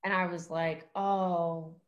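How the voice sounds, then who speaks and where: A woman in her thirties, a guest telling a story in casual chat, animated and acting out quotes with lots of fillers. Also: fairly low pitch, slow, muffled recording.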